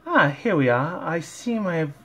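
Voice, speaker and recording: A man's voice: fairly high pitch, very animated, moderate pace, a bit bright, breathy edge, voice pushed up, exaggerated voice. A man voicing a refined, dramatic female cartoon pony in a genteel, affected manner.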